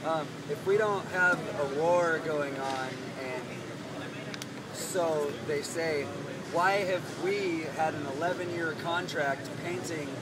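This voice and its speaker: A man speaks up from the audience at an outdoor meeting, hesitant and leaning on fillers as he makes his point. His voice is slightly high. Loud outdoor noise surrounds him. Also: slow pace. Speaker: audience member. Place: outdoor public gathering.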